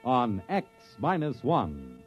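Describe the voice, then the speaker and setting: A man's voice: medium pitch, wildly swooping pitch, takes it slowly, faint music behind. A man reading a scripted 1950s radio announcement as the announcer, on a dull old recording.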